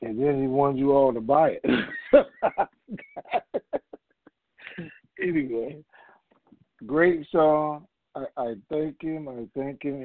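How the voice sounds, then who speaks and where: A man's voice: mid-range voice, no echo. A man hosting a conference call, talking to the group in a casual, rambling, folksy way. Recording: muffled, narrow-band phone conference line.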